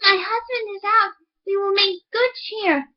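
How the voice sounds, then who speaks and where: A woman's voice: extremely high pitch, fairly even intonation, unhurried, dull audio, voice pushed up. A woman voicing a flustered, sly miller's wife in a storybook, with exclamatory, falsely sweet delivery. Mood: welcoming.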